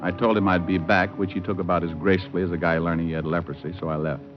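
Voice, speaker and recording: An actor playing a suave, sardonic private detective, narrating and talking in a noir radio drama. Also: male voice, low-pitched, little pitch movement, racing, lightly acted. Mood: wry, sardonic. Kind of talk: first-person noir radio narration and dialogue. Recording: muffled old radio recording with music. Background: music.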